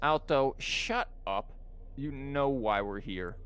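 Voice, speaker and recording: A man's voice: medium pitch, lively intonation, slow. A man giving casual, rambling and wry commentary as he livestreams a video game. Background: game music.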